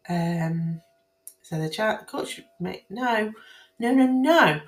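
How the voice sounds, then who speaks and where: A woman with a croaky, hoarse voice, speaking casually and emphatically to her viewers about family court matters, often repeating herself and restarting phrases. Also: medium pitch, animated, extremely slow. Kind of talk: casual spoken commentary on guidance to camera. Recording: roomy echo.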